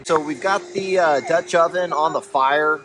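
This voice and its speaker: A man's voice: on the high side, a little slow, low-quality recording. A man in his thirties, filming a casual camp vlog outdoors at a mountain campsite and explaining things in an easygoing way as he goes. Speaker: overlanding vlogger.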